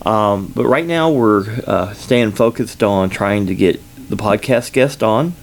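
A man with a Southern US accent talks into a sensitive studio microphone, hosting his own podcast. His voice sits low and carries a gravelly fry. He rambles casually, circling back with ums and restarts. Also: measured pace, slight background noise. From Kentucky, United States. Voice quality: loud voice at times. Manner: rambling, filler-heavy, self-correcting. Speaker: podcast host. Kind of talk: casual solo podcast update.

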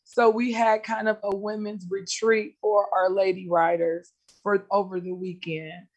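A woman guest speaking on a community radio show over a video call. Her voice is slightly raspy, and she answers in a friendly, upbeat way. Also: mid-range voice, little pitch movement, unhurried, darkish tone. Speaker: guest, small business owner. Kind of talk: interview answers.

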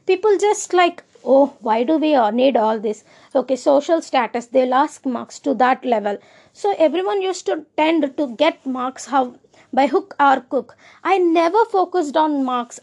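A woman's voice: sits high, a little mellow. A young woman with an Indian English accent, talking casually and earnestly, often restarting her sentences. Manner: earnest, rambling, with frequent restarts. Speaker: speaker sharing personal views. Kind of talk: casual monologue.

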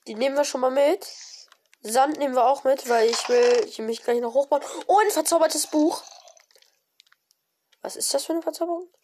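A woman talks through her gameplay in a relaxed, chatty way, breaking into excited exclamations and stumbling over fillers. She has a cold, and her voice sits a bit high. A faint hiss runs under the recording. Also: moderate pace, long pauses. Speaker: gaming video creator. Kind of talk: casual let's-play commentary.

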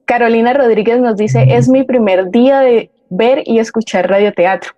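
Colombian female co-host, soft-toned mellow voice, live presenting, very dry recording. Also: on the high side, normal speed, dry recording, muffled recording. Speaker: co-host reading audience comments. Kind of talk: live presentation, greetings and read-out messages.

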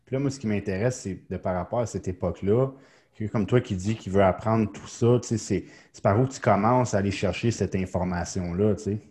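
Male interviewer, low mellow voice, relaxed. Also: a little fast. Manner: relaxed, short agreeing replies. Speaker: host. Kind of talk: casual interview.

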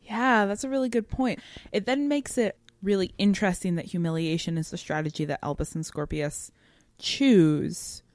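A woman's voice: medium pitch, moderate pace, darkish tone, no room sound. A woman co-hosting a podcast, talking casually and analytically in a thoughtful way, often feeling for words with "like". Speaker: podcast co-host. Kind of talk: casual analytical discussion of fiction.

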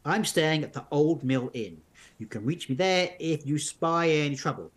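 A man's voice: on the high side, moderate pace, natural voice. A man voicing a tall, mysterious, commanding stranger in a storybook adventure game. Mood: curt, commanding.

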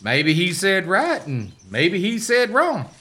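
A man's voice: sits high, wildly swooping pitch, slow, bright, forceful tone, heavily acted. A man playing a folksy old ghostly grandpappy in a family radio play, drawling courtly old-timey sayings. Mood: folksy, wry.

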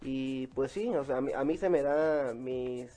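A man's voice: medium pitch, a little slow, dark tone. A man, chatting casually as an interview guest. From Mexico. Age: 20s. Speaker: interview guest, circus clown.

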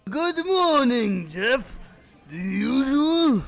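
A man voicing a friendly alien diner cook with a gruff, gravelly voice in a comedy sketch. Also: extremely high pitch, very animated, extremely slow, a bit bright, voice pushed up, full character voice. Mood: friendly, unhurried.

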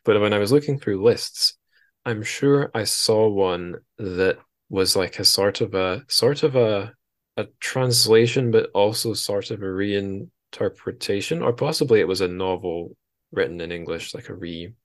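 Male podcast host, low register, chatty and self-deprecating, loosely rambling delivery. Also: unhurried. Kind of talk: casual conversational interview.

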